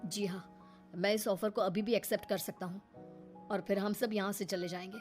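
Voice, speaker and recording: A woman's voice: mid-range voice, steady tone, normal speed, darkish tone, faint music behind, lightly acted. A woman playing a fed-up young husband in a cartoon story, stern and reproachful. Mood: resolute. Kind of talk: animated Hindi story acting.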